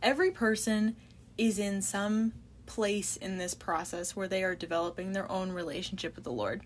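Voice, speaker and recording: A woman's voice: mid-range voice, moderate pace. A woman with a mid-range voice, speaking steadily and earnestly as a podcast co-host. A faint background noise sits under her voice. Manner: steady, earnest, sums things up. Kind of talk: casual faith chat.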